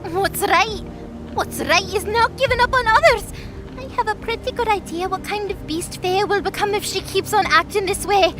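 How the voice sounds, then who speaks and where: A woman acts as a young winged girl in an audio drama, with a very high voice. She is earnest and stubborn, arguing with naive passion. Music plays clearly behind her. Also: normal speed. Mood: defiant, pleading. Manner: impassioned, naive, argumentative. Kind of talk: audio drama character acting.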